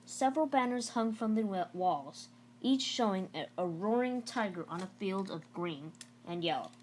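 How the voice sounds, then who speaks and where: A woman's voice: mid-range voice, expressive, normal speed, a little mellow, a little hiss or hum, rough recording. A woman narrating a children's novel aloud in a storybook read-aloud style.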